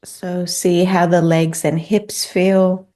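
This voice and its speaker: A woman leading a guided meditation in a gentle, soothing, unhurried manner. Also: on the low side, little pitch movement.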